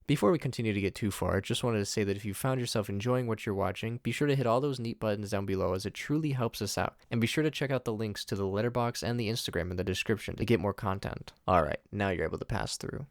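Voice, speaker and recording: A man's voice: fairly low pitch, quick pace, few pauses, no room sound, clean studio sound. A man hosting a video recap, narrating scripted commentary on horror episodes.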